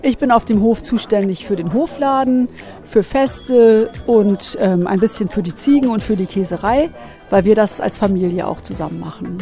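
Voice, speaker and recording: A female farm shop manager speaks in a mellow, relaxed voice of middle pitch. She gives an informal interview answer about her work. Faint music runs behind her. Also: normal speed. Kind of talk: informal interview self-introduction.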